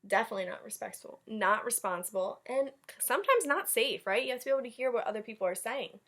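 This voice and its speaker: A woman's voice: a little high, animated, fast talker, a bit bright. A woman teacher leading a read-aloud storytime, chatting directly to young children and commenting as she goes. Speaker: elementary school teacher.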